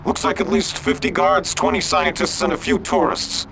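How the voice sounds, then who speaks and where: Guard officer: monotone, heavy fry, higher-set voice, factual, faint outdoor noise. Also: male voice, normal speed, rough recording. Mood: sober. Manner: flat, factual. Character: sober, obedient male security officer. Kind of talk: video game cutscene acting.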